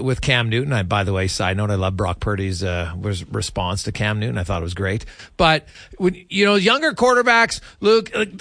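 A man's voice: fairly high pitch, pushed and bright, dry recording, studio quality. A man hosting a sports radio show, putting conversational questions to a guest. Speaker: sports radio host, interviewer.

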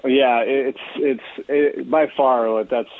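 Male radio guest, sports reporter type, conversational and a bit halting, with dull narrow-band sound. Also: medium pitch, fairly even intonation, slight background noise. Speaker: hockey team reporter and podcaster, radio guest. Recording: dull lo-fi radio call-in audio.

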